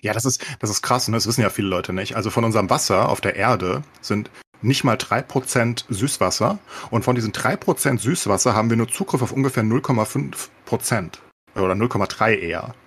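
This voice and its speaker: A man with a lowish, rather husky voice chats casually as a podcast host. He speaks quickly and colloquially, leaning on sardonic understatement. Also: a bit bright.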